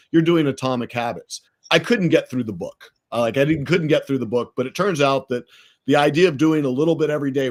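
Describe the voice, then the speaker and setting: A middle-aged man with an American accent, a guest in a casual interview, telling stories with many fillers and restarts. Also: mid-range voice. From United States. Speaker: podcast guest, entrepreneur.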